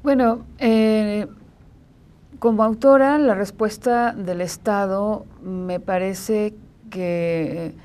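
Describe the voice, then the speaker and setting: A middle-aged woman with a Mexican accent giving halting, measured answers as a guest in a studio interview. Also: mid-range voice, fairly even intonation, mellow.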